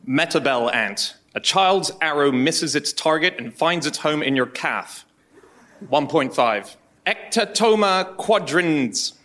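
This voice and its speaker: A man's voice: on the high side, expressive, slow, bright, forceful tone. A man giving a dramatic reading as a podcast panellist.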